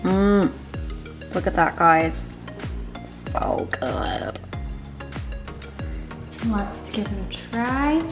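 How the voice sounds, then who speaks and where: A woman's voice: medium pitch, sing-song, very slow, pauses often. A woman in her thirties chatting casually in an upbeat, homey way while she cooks in her home kitchen, filmed by a camera on the counter. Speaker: fitness vlogger. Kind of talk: casual cooking vlog. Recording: echoey kitchen with background music.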